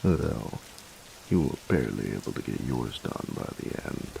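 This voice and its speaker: A gruff young bully boyfriend, acted by a man in an intimate roleplay, with an extremely low voice full of heavy fry. He takes his time and teases with a tender undertone. Clear hiss and rain sit behind him. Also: heavily acted, low-quality recording. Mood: relaxed teasing. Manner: gruff, teasing, halting when tender. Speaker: voice actor in roleplay audio. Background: steady rain.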